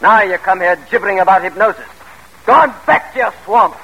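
An actor playing a proud, ambitious father and scornful gentleman schemer in old-time radio drama, theatrical and articulate. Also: high voice, expressive, fairly quick, creaky, slightly rough, dull audio, heavily acted. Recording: noisy vintage radio recording.

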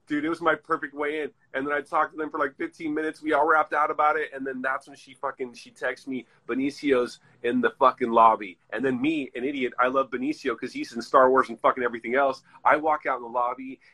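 A man in his forties chats casually as a podcast host, drifting from one story to the next and swearing freely. His voice is bright and forceful, with a husky edge. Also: mid-range voice, steady tone, fast talker, few pauses, rough recording. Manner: rambling, profanity-laced storytelling. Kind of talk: casual chat.

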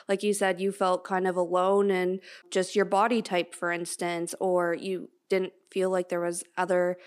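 Brief: woman podcast co-host, mid-pitched, friendly and loose. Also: a little mellow. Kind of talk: scripted intro, then casual chat.

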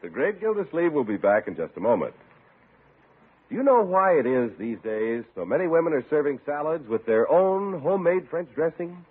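A man's voice: fairly high pitch, very animated, moderate pace, breathy edge. A man announcing from a script in 1950s radio style. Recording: narrow-band old radio broadcast recording.

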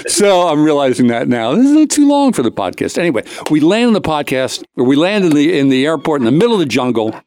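A man's voice: on the high side, dry recording. A man hosting a talk show, telling a comic anecdote in a casual, rambling way, cutting himself off and acting out the dialogue. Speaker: show host.

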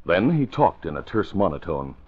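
A man's voice: deep voice, very animated, moderate pace, husky. A man with an American accent doing scripted vintage radio announcing. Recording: dull vintage radio recording.

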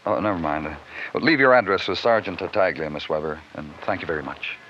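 An actor playing a police detective in an old radio crime drama, terse and courteous, asking short, probing questions. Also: male voice, deep voice, fast talker, natural voice. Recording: muffled, noisy old radio recording.

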